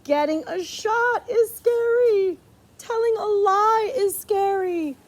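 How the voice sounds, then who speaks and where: High-pitched woman reading a picture book aloud. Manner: playful, dramatic pauses before key words. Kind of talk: animated storybook read-aloud with chatty asides.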